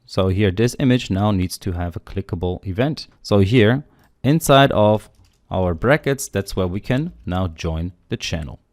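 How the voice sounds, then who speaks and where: A man's voice: fairly low pitch, expressive, unhurried, darkish tone, no echo, clean studio sound. A man teaching a coding tutorial, steadily explaining each step aloud while working through the code. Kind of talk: screen-recorded coding walkthrough.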